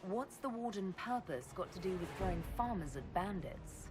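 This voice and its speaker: An actress playing a calm, courteous and inquisitive woman adventurer in a video game. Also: medium pitch, normal speed, music in the background, lightly acted. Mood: direct. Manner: polite, questioning. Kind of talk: video game dialogue acting. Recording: long hall reverb, faint noise.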